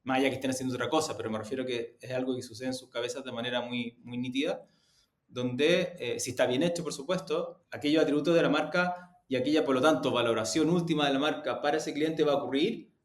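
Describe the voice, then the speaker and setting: Male interviewee, Chilean accent, mid-pitched voice, explains points emphatically and rephrases, roomy echoing recording. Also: steady tone, quick pace. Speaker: media executive being interviewed. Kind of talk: conversational interview answers.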